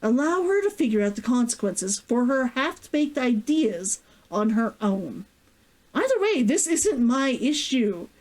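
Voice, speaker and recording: A woman's voice: a little high, big pitch swings, a little slow, darkish tone, pitched above the performer's own voice. A woman voicing an exasperated, firm male town healer who is losing his temper in a story read aloud. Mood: firm, annoyed.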